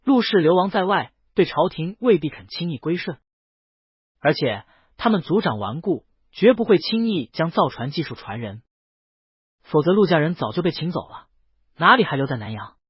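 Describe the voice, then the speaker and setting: Man playing a shrewd, worldly auction lord, lively pitch. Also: moderate pace, breathy edge, dry recording, dull audio, exaggerated voice. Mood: calm, advising. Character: Fang Zijing, worldly male auction-house lord, shrewd and teasing.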